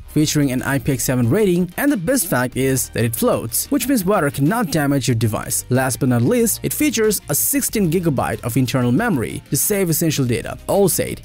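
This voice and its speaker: Man, scripted review voice-over, upbeat pitch, bouncy intonation, light music behind. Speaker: product review narrator. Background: soft background music.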